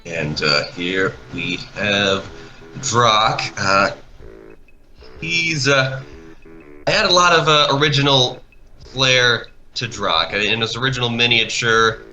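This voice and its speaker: A man chatting casually as a guest, halting but enthusiastic as he talks about a creative project. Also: mid-range voice, pushed and bright, soft background music, poor audio. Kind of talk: casual chat, commentary over an art video.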